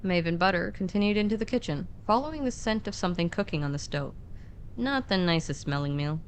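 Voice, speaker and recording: Low-pitched woman narrating a story. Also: expressive, brisk, a little hiss or hum. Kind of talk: scripted atmospheric story narration.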